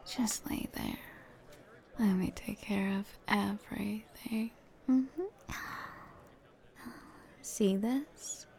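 A woman speaking in a soft, intimate, whispered roleplay, soothing and coaxing, with pet names and murmured hums. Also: mid-range voice, drawn-out words, gravelly, long pauses.

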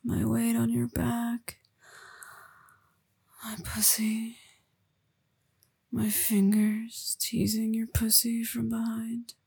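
Breathy whispering woman, slow and gravelly. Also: monotone, no echo. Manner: soft breathy whispering, close to the listener; low murmured coaxing with sighs. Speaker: audio roleplay performer. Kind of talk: intimate whispered audio roleplay.

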